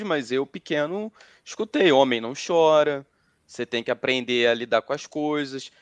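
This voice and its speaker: A man's voice: mid-range voice, smooth, dry recording. A man with a Brazilian accent talking casually and reflectively in a group discussion.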